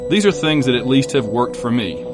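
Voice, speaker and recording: The opening minute of a hobby podcast, a man chatting casually to listeners as music plays clearly underneath. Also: medium pitch, expressive, a little fast. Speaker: podcast host.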